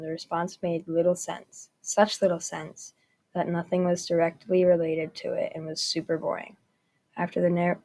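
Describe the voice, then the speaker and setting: A woman's voice: lowish voice, a little mellow. A woman narrator reading a scripted story aloud.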